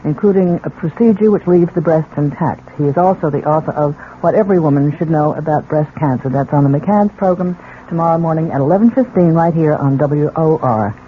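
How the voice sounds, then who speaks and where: A woman's voice: sits low, fairly quick. A woman hosts on radio, reading a scripted program promo. The old recording is narrow and tinny, with faint noise.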